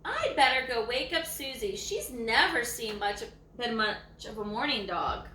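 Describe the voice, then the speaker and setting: A woman voicing a lazy, self-assured old ranch dog in a folksy, slow storybook read-aloud. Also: on the high side, big pitch swings, bright, forceful tone, exaggerated voice. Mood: smug, folksy. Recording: echoey room, faint background noise.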